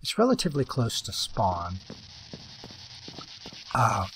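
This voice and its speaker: A man hosting a casual game commentary, rambling and halting with lots of fillers and restarts, with a voice that is going hoarse. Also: mid-range voice, wildly swooping pitch, background noise. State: losing his voice. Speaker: let's play host.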